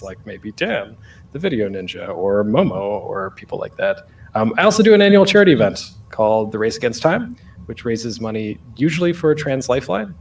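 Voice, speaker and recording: A man's voice: medium pitch, vocal fry, a little hiss or hum. A man presenting a casual online panel as a podcaster, speaking off the cuff in a rambling, self-deprecating, jokey way.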